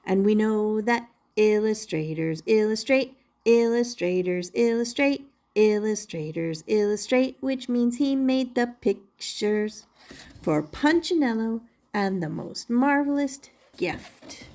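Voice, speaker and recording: Children's story narrator, older female: unhurried, deliberate, very expressive pitch movement. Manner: deliberate, repeats phrases for young listeners. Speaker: storybook reader.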